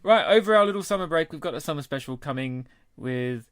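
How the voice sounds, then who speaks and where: A man's voice: a little high, animated, fast, a bit bright, clean tone, no echo, studio quality. A British man co-hosting a podcast in a casual, jokey and matey way. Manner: jovial, matey. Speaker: podcast co-host.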